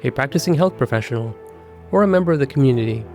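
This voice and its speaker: A man of middle pitch hosts a podcast, reading his introduction and questions in a measured, formal way. Soft music plays faintly behind him. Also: animated. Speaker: physician and podcast host. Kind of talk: scripted intro and interview questions.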